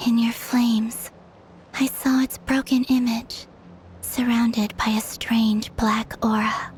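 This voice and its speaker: Hushed, breathy young woman. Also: fairly high pitch, level tone, takes it slowly, slight background noise, poor audio. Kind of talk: video game voice acting.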